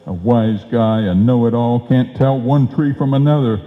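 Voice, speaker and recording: A man's voice: mid-range voice, fairly even intonation, moderate pace, lightly acted. A man playing a bumbling, boastful husband in an old-time radio comedy, talking in folksy, slangy wisecracks. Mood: dismayed, self-mocking. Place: live performance in a reverberant hall. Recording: echoey hall, dull live stage sound.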